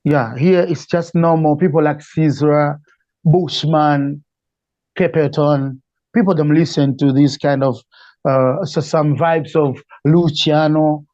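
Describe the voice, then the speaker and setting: A Malawian man with a fairly high, very mellow voice gives conversational interview answers. His accent is Malawian. The recording is very dry, with a muffled, lo-fi sound. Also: fairly even intonation, slow pace, no room sound. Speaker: interview guest, reggae singer.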